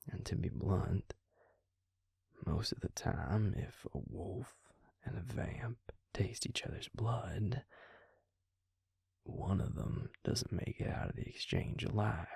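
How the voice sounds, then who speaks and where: A man playing a calm, protective vampire in an intimate audio roleplay, speaking gently and measuredly in a breathy, gravelly near-whisper. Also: low voice, no echo, clean studio sound, exaggerated voice. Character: calm, protective male vampire explaining lore to a werewolf. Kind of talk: intimate audio roleplay, slow hushed delivery.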